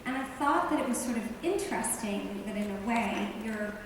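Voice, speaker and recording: A woman's voice: medium pitch, lively intonation, normal speed. A woman moderating a live question session, upbeat and leading the talk in a large hall. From born in Iran. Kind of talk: hosting a live Q&A. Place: large hall before an audience. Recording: echoey hall, distant mic, background noise.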